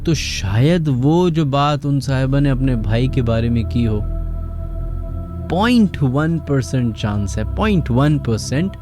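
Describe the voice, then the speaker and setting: A man's voice: medium pitch, lively intonation, takes it slowly, a little mellow, soft background music. A man with a Pakistani accent hosting a radio show, telling stories conversationally and musing aloud with rhetorical questions. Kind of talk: conversational late-night radio storytelling.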